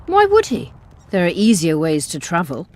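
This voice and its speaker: An actress playing a self-assured, commanding sorceress in a video game, speaking briskly and formally. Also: female voice, lowish voice, huge pitch swings, a little mellow. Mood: inquiring, cool. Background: game music.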